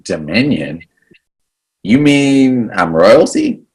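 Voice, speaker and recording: A man playing a cocky, wisecracking hero with a casual, deadpan manner in a screenplay table read. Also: mid-range voice, slow pace, breathy edge, full character voice. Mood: incredulous.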